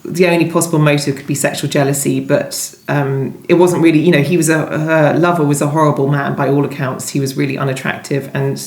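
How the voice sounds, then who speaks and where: A woman's voice: deep voice, fairly even intonation, fairly quick, few pauses, darkish tone. A woman novelist being interviewed, talking conversationally and thinking aloud, often hesitating and rephrasing. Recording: roomy, echoey sound.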